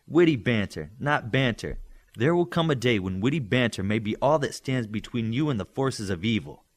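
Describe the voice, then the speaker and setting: A man voicing a witty, bossy young girl student in an audiobook, with a brisk, insistent manner. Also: medium pitch, lively intonation. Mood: teasing. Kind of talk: audiobook character acting.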